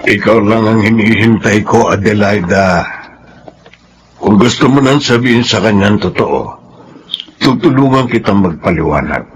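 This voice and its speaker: A man's voice: lowish voice, moderate pace, pauses often, background noise, close to an everyday voice. A male actor with a Filipino accent playing a guilt-ridden, loving middle-aged father figure in an earnest radio drama. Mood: guilty, pleading. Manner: earnest, emotional delivery. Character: guilt-ridden, loving older man posing as a boy's father.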